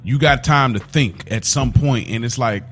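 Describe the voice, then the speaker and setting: A man's voice: mid-range voice, moderate pace, fairly bright tone. A man giving impassioned opinions as a podcast panelist, talking in informal slang and repeating himself for emphasis.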